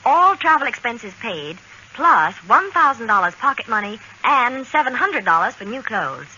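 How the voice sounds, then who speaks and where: A woman's voice: fairly high pitch, big pitch swings, moderate pace, bright, forceful tone. A woman reading a scripted old-time radio advertisement as an announcer. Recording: dull, noisy old radio broadcast.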